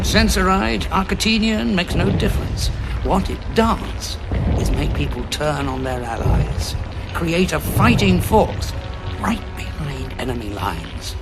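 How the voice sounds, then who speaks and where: An actor playing an eccentric, grave time-traveller, theatrical and deliberate, in a sci-fi audio drama. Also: male voice, sits high, vocal fry, full character voice. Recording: music bed behind the dialogue.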